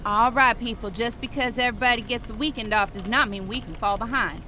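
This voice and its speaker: An actress playing a brisk, no-nonsense head veterinarian giving authoritative direction in an audio drama. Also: female voice, a little high, pushed and bright, a little hiss or hum. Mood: commanding.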